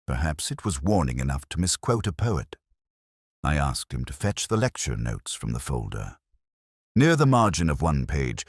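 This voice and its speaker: A man narrating a scripted first-person detective story in a measured, literary, reflective manner. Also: rumbling low, no room sound, studio quality.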